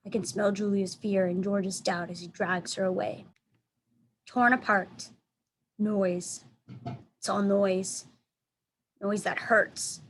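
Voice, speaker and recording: A woman teacher reading a children's storybook aloud in expressive narration. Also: medium pitch, takes it slowly, long pauses. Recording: clear background noise.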